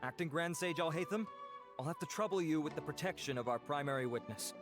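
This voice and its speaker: A man's voice: on the high side, fast, natural voice. An actor playing a dutiful male investigator in a game, speaking in a formal, respectful way. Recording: game dialogue over music.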